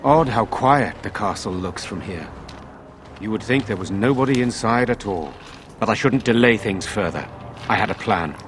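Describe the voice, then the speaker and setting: An actor playing a grave, troubled nobleman in a fantasy video game, with a measured, formal delivery. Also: on the low side, animated, slight background noise, lightly acted. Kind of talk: dramatic video game acting.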